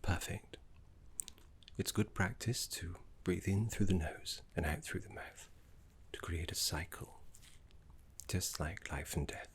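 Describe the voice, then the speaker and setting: A man voicing a gentle, erudite necromancer teacher in a fantasy ASMR roleplay, speaking in a breathy half-whisper with refined, reassuring deliberation. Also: low-pitched, takes it slowly, heavily acted. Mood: soothing, encouraging.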